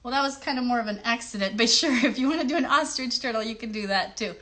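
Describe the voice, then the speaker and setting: A woman's voice: fairly high pitch, fast talker, a bit bright. A woman hosting a livestreamed puppet show, chatting simply and directly to young children.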